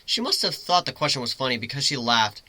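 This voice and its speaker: A man's voice: medium pitch, big pitch swings, fast talker, pushed and bright. A man narrating fan fiction in the first person as an amateur dramatic reader. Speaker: story reader.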